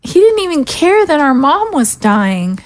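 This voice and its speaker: A worried young girl, played by a woman, high-pitched and slow to get her words out. She falters and swings up and down in an audio drama. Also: sing-song. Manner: hesitant, faltering. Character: hesitant, worried young girl guarding zombie prisoners.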